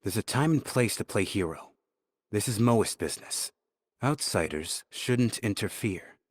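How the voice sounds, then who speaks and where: A domineering game schemer with a low, raspy voice. He is curt and commanding, recorded dry. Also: lively intonation, a little slow, no echo. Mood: dismissive. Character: gruff, domineering man of shady motives. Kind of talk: dramatic video game acting.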